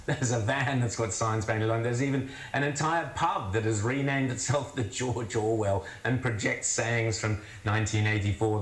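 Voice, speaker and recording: A man's voice: mid-range voice, echoey room. A man from Australia delivering wry, scornful news commentary. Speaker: commentator host.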